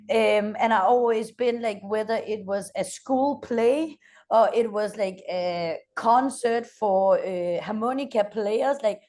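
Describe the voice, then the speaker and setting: A woman with a Danish accent, a founder in her thirties, answers interview questions with lively energy. She stops often on "uh" as she looks for English words. Her pitch sits in the middle for a woman. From Denmark. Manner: energetic, frequent "uh" hesitations. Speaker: guest, company founder. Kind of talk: interview conversation.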